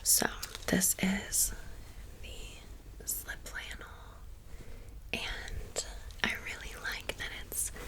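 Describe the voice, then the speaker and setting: A woman in her thirties whispering softly in a chatty ASMR show-and-tell, blunt and casual. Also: mid-range voice, poor audio. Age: 30s. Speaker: ASMR creator. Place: home room with fridge and outside noise.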